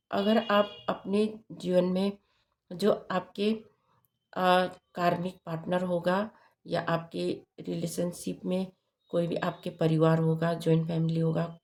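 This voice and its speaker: Slow, hoarse-voiced woman reading tarot. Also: fairly low pitch, a little mellow. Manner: slow, halting, many fillers and tag questions. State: hoarse, sore throat. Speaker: tarot reader.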